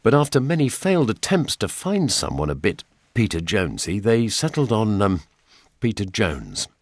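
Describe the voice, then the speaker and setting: An older English man narrates a radio documentary in a deep voice. His delivery is measured and gently wry, and the sound is close and dry. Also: very animated, no room sound. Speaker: presenter.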